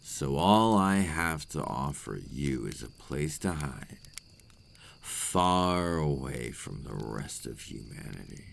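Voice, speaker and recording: Very deep, gravelly vampire played by a man. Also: slight background noise. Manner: slow, intimate, formal old-fashioned phrasing. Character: possessive, remorseful male vampire captor, courtly and menacing. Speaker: ASMR roleplay voice actor. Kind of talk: ASMR roleplay acting, scripted monologue.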